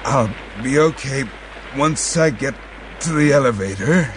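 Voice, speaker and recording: An actor playing an anxious, ailing father in a radio drama, speaking in a halting, worried way. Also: mid-range voice, animated, over music, close to an everyday voice. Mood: weary, anxious. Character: anxious, ailing father of a runaway grown son.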